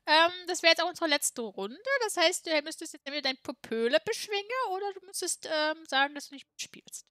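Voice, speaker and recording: A high-pitched woman chats on a gaming stream, her voice bright and swinging widely in pitch. She teases friends and giggles a lot, recorded close and dry. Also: expressive, fairly quick, hardly any pauses, no room sound. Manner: playful, giggly, teasing. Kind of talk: casual gaming chat.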